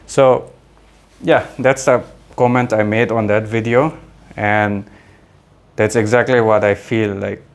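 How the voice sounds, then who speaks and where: A man's voice: lowish voice, steady tone, takes it slowly, long pauses. A man in his thirties from India, a native Adi speaker, talking in a rambling, reflective vlog monologue full of fillers and restarts. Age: 30s. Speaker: vlogger.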